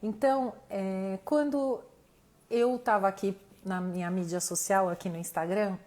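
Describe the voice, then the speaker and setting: A woman's voice: mid-range voice, fairly even intonation, a little slow, darkish tone. Brazilian woman teaching a motivational live class. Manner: conversational, addresses the audience directly, thinks aloud. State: voice tiring, losing it slightly. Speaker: mentor hosting an online live class. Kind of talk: informal motivational teaching to camera.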